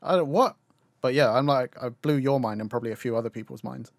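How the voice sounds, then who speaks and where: A man's voice: medium pitch, fast, gravelly, no echo. Rambling young man, dry close mic. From Cantonese-speaking Chinese family. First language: Cantonese. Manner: rambling, swears casually, laughs mid-sentence. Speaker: podcast co-host. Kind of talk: casual chat.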